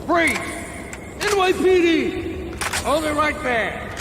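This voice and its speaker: An actor playing an urgent police officer in a game, yelling drawn-out commands at a suspect. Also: male voice, extremely high pitch, expressive, full character voice. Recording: long reverb, noisy outdoor ambience.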